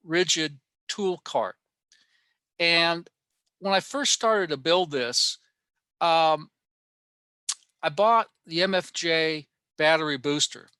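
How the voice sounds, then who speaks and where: A man giving an informal technical presentation online, pausing often in mid-sentence as he explains. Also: a little high, sharp tone, clean tone, no room sound. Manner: halting, with frequent mid-sentence pauses. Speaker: amateur radio club presenter. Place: online workshop talk.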